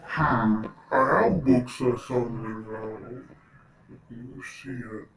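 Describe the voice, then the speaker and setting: A man voicing a hesitant character in an amateur animated disaster skit, recorded at a distance from the microphone. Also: mid-range voice, very slow, slight background noise.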